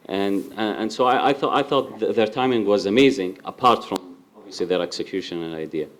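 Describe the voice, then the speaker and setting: A man speaks from the audience at a startup event, with a low voice. He thinks out loud, repeating words as he goes. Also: fairly quick, a little hiss or hum. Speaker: audience member commenting. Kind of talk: spontaneous comment.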